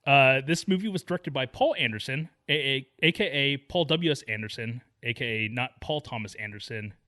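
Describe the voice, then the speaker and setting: Sharp, bright male podcast co-host. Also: mid-range voice, normal speed. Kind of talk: casual film discussion.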